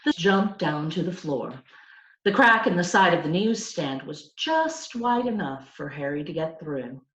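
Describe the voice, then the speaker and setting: A woman reads a children's story aloud with a very varied melody. Her pace is slow and careful, and the pitch sits a little low. The room adds a noticeable echo. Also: sing-song, a bit bright. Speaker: storybook reader.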